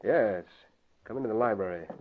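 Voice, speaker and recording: A man's voice: fairly low pitch, brisk, a little hiss or hum, close to an everyday voice. An actor playing an indignant, crooked businessman who blusters defiantly in 1940s radio style. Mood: guarded. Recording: dull lo-fi vintage radio broadcast.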